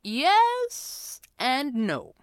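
A woman's voice: high-pitched, wildly swooping pitch, very slow, dry recording, studio quality, voice pushed up, full character voice. A woman voicing a proud, self-satisfied middle-aged father in a story, imparting wisdom with little hesitations. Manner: smug, hesitant interjections, proud.

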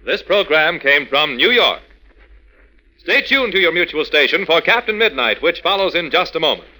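A man's voice: on the high side, very animated, normal speed, bright, forceful tone, low-quality recording. A man announcing in a polished, formal 1940s radio promotional style. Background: music.

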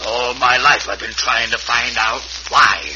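A man's voice: mid-range voice, steady tone, a little slow, natural voice. Actor as deranged, bitter gunman on hissy radio. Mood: bitter, raving. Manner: brooding, menacing, dragged-out threats. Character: deranged, bitter man bent on murder, paranoid. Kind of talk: old-time radio western acting. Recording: muffled, hissy old radio recording.

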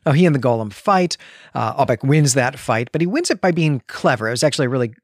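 A man with a mid-pitched voice talks as a podcast co-host. He is measured and articulate, explaining a story as he goes. The sound is dry, with very little room in it. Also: animated, dry recording. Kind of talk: book discussion with story recap.

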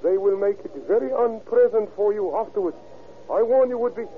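An actor playing a coolly menacing foreign smuggler with stilted, formal phrasing in an old radio drama. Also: male voice, high-pitched, fairly quick, close to an everyday voice. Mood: cool warning. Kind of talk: 1940s radio acting. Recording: tinny old radio recording.

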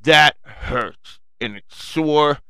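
A man's voice: a little high, drawn-out words, gravelly, pushed and bright, clear, resonant tone, dry recording. Brash-sounding man with strong Long Island accent. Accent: strong Long Island New York. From Long Island, New York, United States. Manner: blunt, profane, slangy, addresses listeners as folks. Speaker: podcast host addressing listeners. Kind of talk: casual rambling solo monologue.

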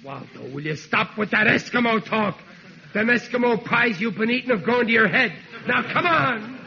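A man playing an excitable, dim but soft-hearted working-class father, blustering theatrically in 1940s radio sitcom style. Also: very high voice, normal speed, gravelly, heavily acted. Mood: urgent, exasperated. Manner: exasperated, theatrical, ungrammatical bluster. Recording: noisy muffled vintage radio recording.

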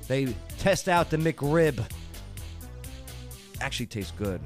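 A man's voice: mid-range voice, expressive, faint music behind. A man hosting a live radio talk show, joking and teasing his co-hosts. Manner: joking, teasing, quick asides.

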